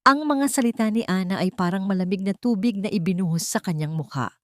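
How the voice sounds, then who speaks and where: A woman's voice: medium pitch, fairly quick, no echo, studio quality. A woman with a Filipino accent narrating a scripted romantic drama as a storyteller.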